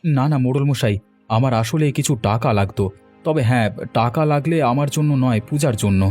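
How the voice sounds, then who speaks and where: A man's voice: medium pitch, fairly quick, darkish tone, faint music behind, lightly acted. A man voicing a helpful young village man in a cartoon, friendly, concerned and reassuring. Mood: pleading. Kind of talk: cartoon voice acting.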